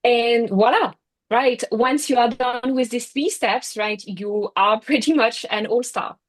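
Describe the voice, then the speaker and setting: A woman's voice: on the high side, measured pace. A middle-aged woman with a French accent gives an online workshop talk in a chatty way, filling her sentences with "you know, like" and "right?". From France. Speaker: workshop speaker. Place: Singapore.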